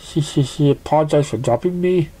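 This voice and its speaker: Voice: medium pitch, fairly quick, dark tone, slightly rough, close to an everyday voice, low-quality recording. A narrator voicing a shy, timid female pony with a hesitant stammer. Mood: timid, apologetic.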